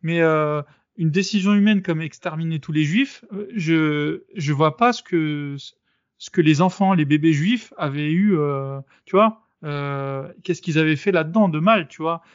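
A man on his own podcast talks through a debate in a loose, casual way. He hesitates a lot and restarts his sentences. His voice sits a little high and sounds dry and dull. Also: a little slow, no room sound. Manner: hesitant, many fillers and restarts. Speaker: podcast host.

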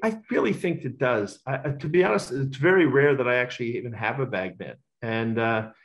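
A man's voice: medium pitch, fairly quick, muffled recording. A middle-aged man, talkative and self-assured, giving casual interview answers as a racecourse bookmaker. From Australia.

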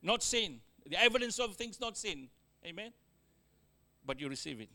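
High, bright-voiced Fijian preacher. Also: male voice, very animated, normal speed. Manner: exhorting, with frequent amens and call-outs to the congregation; joking asides, wide swings from loud to quiet. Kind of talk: sermon.

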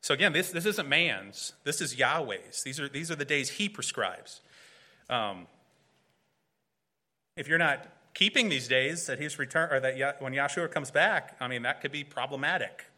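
A man with a bright, clear voice delivers a sermon to a congregation. He explains earnestly, with some filler and restarts, and he often poses rhetorical questions. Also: mid-range voice, lively intonation, moderate pace. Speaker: pastor.

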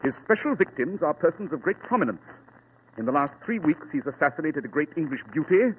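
An actor playing a brisk, cool-headed male detective in a crisp, clipped, matter-of-fact manner, in 1940s radio acting. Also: on the high side, sing-song. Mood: calm. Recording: tinny lo-fi old radio broadcast with faint hiss.

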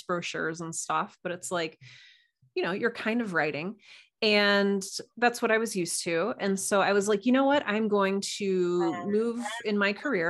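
A woman's voice: fairly low pitch, fairly bright tone. A woman talking casually as a podcast guest, telling stories informally and quoting herself as she goes. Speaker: guest writer and podcast producer. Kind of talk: casual conversational storytelling.

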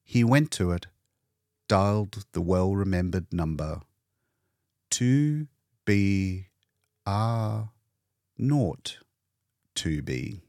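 A man with a low voice narrates an audiobook story solemnly. He is measured and deliberate, taking his time, yet his intonation moves expressively. The sound is dry and close. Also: expressive, a little mellow, no room sound. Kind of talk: scripted literary narration, dramatic storytelling.

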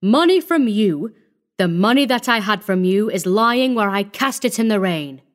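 A woman narrator plays a shabby old drunkard from a storybook. He is bitter and defiant. His words come out loud and blunt, like accusations thrown in someone's face. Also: medium pitch, measured pace, close to an everyday voice. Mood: scornful, bitter.

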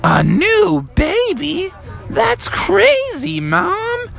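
A man's voice: extremely high pitch, very animated, drawn-out words, creaky, fairly bright tone, pitched above the performer's own voice, full character voice. A man voicing an eager young boy frog, childlike and easily amazed, in a children's story. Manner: childlike, wide-eyed. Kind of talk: improvised children's bedtime story.

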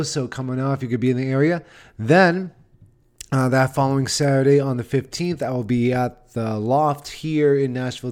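A man's voice: medium pitch, a little mellow. An American man hosting a podcast solo, chatting in an informal, rambling and self-deprecating way. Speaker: podcast host and stand-up comedian. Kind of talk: casual solo podcast chat.

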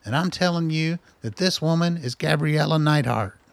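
An actor playing a weary, stubborn older scientist in hiding, terse and guarded, in a radio drama. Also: male voice, on the high side, moderate pace, creaky, no echo. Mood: weary, resigned.

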